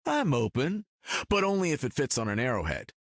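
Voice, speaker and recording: An actor playing a confident, wisecracking superhero archer in a video game, with casual, wry American banter. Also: male voice, mid-range voice, huge pitch swings, fairly quick, vocal fry, dry recording, studio quality, heavily acted. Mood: cocky.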